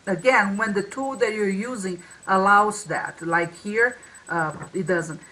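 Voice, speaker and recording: A woman's voice: mid-range voice, measured pace, sharp tone, rough recording. A woman co-hosting a marketing talk over a video call, with a strong voice and a loose, restarting way of speaking. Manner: many restarts and fillers. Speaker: co-host. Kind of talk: casual webinar talk.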